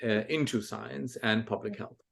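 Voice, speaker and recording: A man's voice: lowish voice, steady tone, normal speed, gravelly. A man in his forties from Pakistan, a guest author answering interview questions thoughtfully, with frequent ums and restarts. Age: 40s. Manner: thoughtful, many fillers and restarts.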